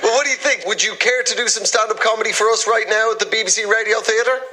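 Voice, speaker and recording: A man's voice: sits high, steady tone, bright, forceful tone. A man with an Irish accent hosting a radio comedy show from a theatre, joking and chatting with a guest. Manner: playful, quick to joke and laugh. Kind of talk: chatty comic interview.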